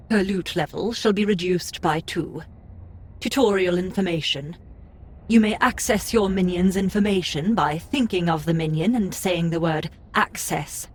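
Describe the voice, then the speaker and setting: A cool, formal game system interface reads out its notices in an audiobook. The voice is low for a woman and husky, with a measured, official delivery.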